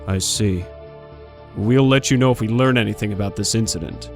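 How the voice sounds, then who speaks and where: A man playing a calm, authoritative commander in an audio drama, with a measured, formal way of speaking. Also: fairly low pitch, close to an everyday voice. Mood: composed, firm. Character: calm, authoritative male commander of hero legion. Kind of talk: scripted audio drama acting. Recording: voice over clear guitar music.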